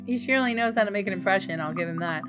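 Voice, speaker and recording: A woman reading a visual novel aloud as a gaming YouTuber, expressive and reacting to the story. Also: medium pitch, racing, music in the background.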